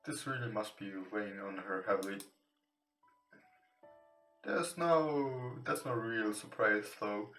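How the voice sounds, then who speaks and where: Male let's play host, non-native English speaker, halting read, forward bright tone, echoey room with music behind. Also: fairly low pitch. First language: not English. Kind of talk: reading visual novel text aloud. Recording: roomy echo, distant from mic. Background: soft guitar game music.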